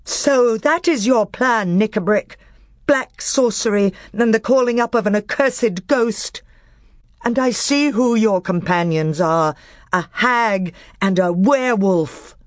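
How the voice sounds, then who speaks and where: A woman reading aloud, voicing a young king who speaks forcefully and indignantly. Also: fairly high pitch, sing-song, a little slow, no echo, clean studio sound, voice pushed up. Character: young male king, commanding.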